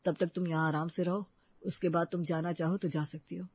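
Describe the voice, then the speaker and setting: A woman's voice: lowish voice, level tone, a little fast, husky, dry recording, dull audio. A woman playing an earnest, protective young husband and stepfather in a story, speaking firmly. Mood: gentle, reassuring. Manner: firm, earnest.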